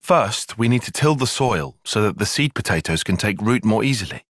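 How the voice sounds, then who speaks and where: An actor playing a young soldier strategist in a video game, formal, bookish and wry, easily flustered. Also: male voice, on the low side, lively intonation, fairly bright tone, dry recording, studio quality. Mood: measured, analytical. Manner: formal, measured, precise, dryly sardonic. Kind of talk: video game cutscene voice acting.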